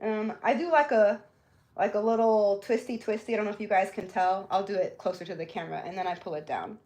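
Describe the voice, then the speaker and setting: A woman speaks at an average female pitch. She is hosting a live beauty tutorial in a casual style. Her delivery is informal and chatty, addressed directly to the people watching. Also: a little fast, a little mellow, low-quality recording. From born in Jamaica, grew up in Florida, US. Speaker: beauty livestream host.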